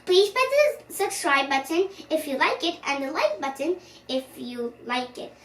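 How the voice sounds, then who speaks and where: A young girl reading a children's storybook aloud in an animated, expressive way, now and then stumbling over words. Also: high-pitched, moderate pace. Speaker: storyteller, channel host. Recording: roomy, distant microphone, faint background noise.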